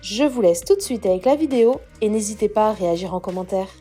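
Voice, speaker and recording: A woman reading scripted entertainment news as a smooth, steady voice-over. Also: medium pitch, quick pace, soft background music.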